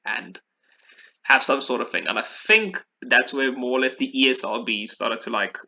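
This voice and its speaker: A man's voice: medium pitch, moderate pace, bright, forceful tone, dull audio. A man hosting a podcast, talking casually and with opinions about video games, often repeating phrases for emphasis. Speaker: podcast host.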